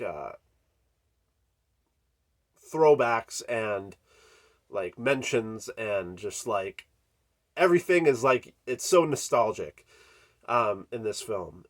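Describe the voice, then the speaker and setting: A congested man hosting a horror channel, giving an unscripted movie review with many fillers and restarts. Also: medium pitch. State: congested from a cold.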